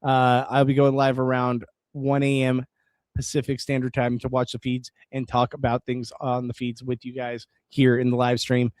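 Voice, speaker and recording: A man at a middle pitch hosts a late-night live stream, rambling casually to his viewers and reading their comments aloud. His voice is wearing hoarse after hours of talking. The sound is dry and close, with no room around it. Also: completely flat delivery, moderate pace, darkish tone, no room sound. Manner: rambling, reads chat aloud, restarts words. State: voice going hoarse.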